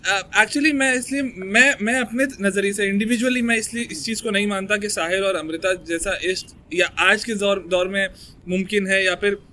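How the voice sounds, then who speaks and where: A man's voice: high voice, moderate pace, bright, forceful tone, slight background noise. A man talking as a guest in a casual chat, agreeable but arguing his own view earnestly. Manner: agreeable, interjects often, argues earnestly. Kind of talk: casual conversation.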